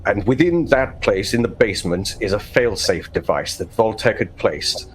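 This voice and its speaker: A man playing a stern, pompous vault overseer, speaking in formal, measured phrases in a tabletop roleplaying game. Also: lowish voice, lively intonation, soft background music, close to an everyday voice. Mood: commanding, formal. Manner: formal, measured, stiff-upper-lip.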